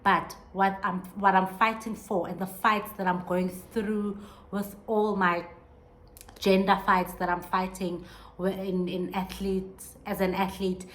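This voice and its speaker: A woman's voice: mid-range voice, level tone, a little slow, a bit bright. Earnest woman reflecting on a podcast. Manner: earnest, searching, many restarts and fillers. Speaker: podcast host. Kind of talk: reflective solo monologue.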